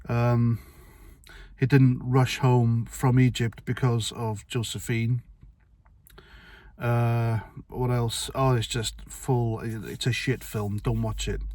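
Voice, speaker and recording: Middle-aged male vlogger, mid-pitched, dry sound, rambling and sweary. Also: little pitch movement, slow pace, darkish tone, dry recording. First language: Welsh. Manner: blunt, rambling, sweary, with restarts. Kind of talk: casual opinion monologue to camera.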